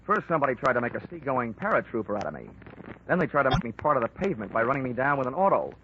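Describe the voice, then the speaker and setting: A man's voice: mid-range voice, sing-song, very fast, background noise, lightly acted. An actor playing a hard-boiled male insurance investigator who narrates his own case in a 1940s radio drama, dry and wisecracking. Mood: wry, sardonic. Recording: muffled old radio broadcast with music.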